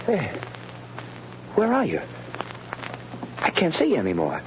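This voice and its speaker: A condemned prisoner on death row, anxious and bewildered. His pitch rises and falls a lot, and he talks slowly and haltingly. The sound is dull and hissy, like an old radio broadcast. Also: wildly swooping pitch, full character voice. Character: condemned young man awaiting the electric chair, desperate. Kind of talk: 1930s radio drama acting.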